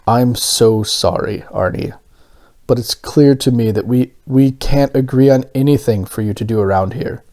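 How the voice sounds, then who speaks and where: A man voicing a mild, kindly, hesitant grown man in a storybook, with gentle, drawn-out phrasing. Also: mid-range voice, close to an everyday voice. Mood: sorry, gentle.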